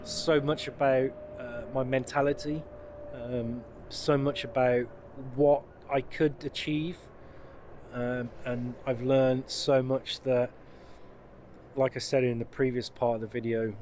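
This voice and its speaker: Casual English male vlogger, mid-pitched, chatty and rambling, cheerful. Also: little pitch movement, slow, a little mellow, a little hiss or hum. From United Kingdom. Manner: rambling, many ums and restarts, upbeat. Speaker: van life vlogger. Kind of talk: casual vlog talk to camera.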